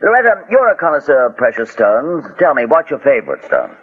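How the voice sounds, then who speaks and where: A wealthy, imperious gentleman host in a 1940s radio drama. His voice is husky and very animated, with formal, theatrical phrasing. The old recording is thin and phone-like. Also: mid-range voice, a little fast. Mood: smug, amused.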